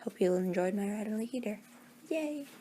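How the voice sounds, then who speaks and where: A woman's voice: mid-range voice, animated, normal speed, dark tone, slight background noise. A woman chatting casually in a rambling, self-deprecating vlog, her voice a little groggy. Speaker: hobby voice actor, channel owner.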